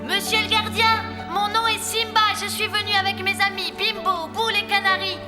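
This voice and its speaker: An eager young lion cub boy from a cartoon, with a very high childlike voice. He speaks politely and earnestly over background music. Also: level tone, moderate pace. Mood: polite, formal. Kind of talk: cartoon dub acting. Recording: music under the dialogue.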